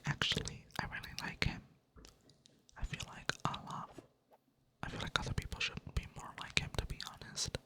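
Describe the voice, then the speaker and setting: A soft, unvoiced whisper that drifts along slowly and stays monotone, with casual, rambling chat over gameplay. It sounds close to the mic at a computer desk and is gently self-mocking throughout. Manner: monotone delivery. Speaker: ASMR gaming creator.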